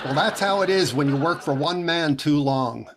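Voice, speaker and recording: A man's voice: fairly high pitch, slight background noise, close to an everyday voice. A man playing a vain, put-upon radio comedian boss with fussy, exasperated delivery, in 1940s radio comedy style. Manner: fussy, exasperated, drawn-out retorts.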